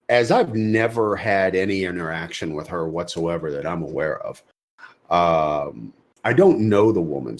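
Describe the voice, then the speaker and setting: A man co-hosting a casual podcast discussion, often repeating words as he searches for his phrasing. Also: low-pitched. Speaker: podcast co-host.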